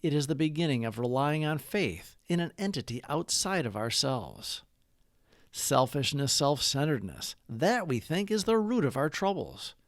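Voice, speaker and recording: A man's voice: a little high, sing-song, normal speed, no room sound, studio quality. A man hosting a recovery channel, reading a scripted daily reflection and prayer.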